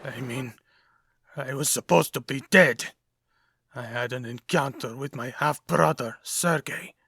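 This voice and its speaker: A man playing an unhinged, taunting male supervillain in a comic book dub, sly and mock-wistful as he makes deliberate threats. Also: medium pitch, creaky, sharp tone, breathy edge, dry recording, exaggerated voice.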